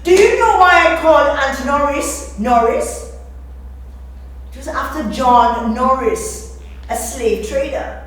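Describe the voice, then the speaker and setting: A woman's voice: high-pitched, slow pace. A woman playing a sly revolutionary Regency novelist in stage dialogue, knowing and pointed. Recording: echoey room, distant mic, faint noise.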